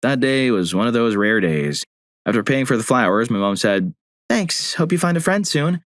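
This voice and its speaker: A man reading out story submissions, with deadpan, wry asides between them. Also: mid-range voice, moderate pace, no echo, studio quality. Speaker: narrator. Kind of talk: reading story submissions aloud with short quips.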